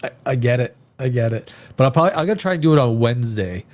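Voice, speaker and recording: A man's voice: medium pitch, lively intonation, racing, darkish tone. A man hosting a live reaction show, rambling and restarting his sentences, with a sniffly head cold. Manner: rambling, repeats words and restarts often.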